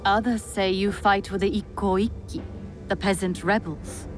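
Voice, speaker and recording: An actress playing a gracious, composed Japanese noblewoman and widow in a video game, speaking formally and with measured care. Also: mid-range voice, steady tone, close to an everyday voice. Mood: grave, determined. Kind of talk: video game cutscene acting. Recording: loud music behind the voice. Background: music.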